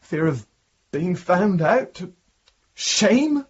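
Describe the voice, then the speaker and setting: Young prisoner, very husky n haunted, respectful but wounded, expressive pitch, slightly dull radio play sound. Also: male voice, drawn-out words, full character voice. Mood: pleading, guarded. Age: young adult. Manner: deferential, wounded, reluctant to confide. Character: haunted young condemned prisoner protesting his innocence. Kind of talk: radio drama acting.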